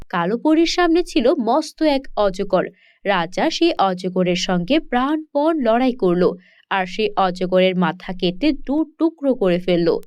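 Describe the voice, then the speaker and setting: A woman's voice: medium pitch, darkish tone, clear, resonant tone, no room sound, lo-fi sound. A woman narrating a scripted fairy tale for children.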